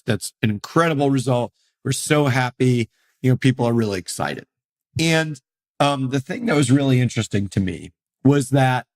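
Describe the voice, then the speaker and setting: A man in his thirties hosting a solo podcast, hoarse and losing his voice, talking in a candid, rambling way. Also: medium pitch. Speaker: podcast host.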